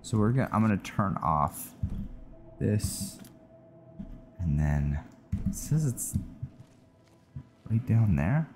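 A man giving casual, low-key commentary as he plays a video game, muttering his thoughts aloud. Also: big pitch swings, slow, pauses often, darkish tone. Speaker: gamer, channel host. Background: game music.

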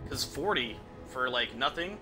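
Casual young man streaming over loud game music. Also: medium pitch, lively intonation, measured pace. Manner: rambling, informal, profane. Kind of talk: casual live game commentary. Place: indoor room at a streaming setup. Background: game music and sounds.

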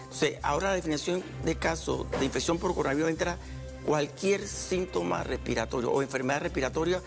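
A middle-aged man with a gravelly, slightly high voice talks as a doctor on a morning TV set. He explains each point calmly and simply. Faint music sits under his lapel mic. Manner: measured, explains in plain terms. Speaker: infectious disease doctor guest. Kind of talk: explanatory TV interview. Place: TV studio.

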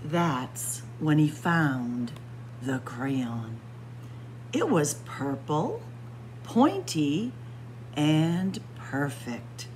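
A woman librarian reading a picture book aloud with dramatic, expressive storytelling and spooky whispers, in a library. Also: deep voice, very slow, slight background noise, poor audio. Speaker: children's librarian. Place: library with bookshelves.

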